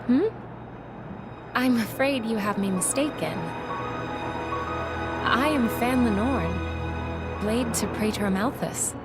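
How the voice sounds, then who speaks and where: A woman playing a gentle, formally polite female attendant in a video game cutscene. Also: on the high side, slow, long pauses. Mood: gentle, courteous. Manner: formal, polite, soft-hearted. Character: Fan, gentle, courteous female blade attendant. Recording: music over the voice.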